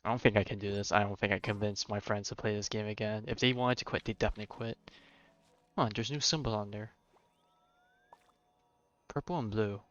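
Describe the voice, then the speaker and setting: A man's voice: on the low side, steady tone, a little fast, long pauses, a bit bright, muffled recording. A man livestreaming a game with casual, informal commentary, thinking aloud and reading on-screen text.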